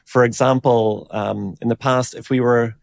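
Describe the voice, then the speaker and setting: A man explains technical matters conversationally, with a creaky edge to his voice. He talks in a halting, informal way, working out his thoughts aloud, and the sound is close and dry. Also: medium pitch, steady tone, no room sound. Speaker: engineering director, interview guest.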